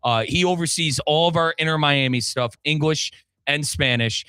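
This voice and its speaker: Guy hosting sports talk radio, bright punchy voice, kinda high, informal and buddy-buddy. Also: moderate pace, smooth, no room sound. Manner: excitable, chummy, informal.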